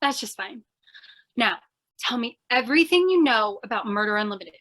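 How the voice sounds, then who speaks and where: A woman's voice: fairly high pitch, expressive, moderate pace, fairly bright tone, rough recording. A woman playing an eager, overconfident, bossy reporter in old-time radio style, gushing and theatrical. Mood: bossy urgency. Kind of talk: old-time radio comedy-thriller acting.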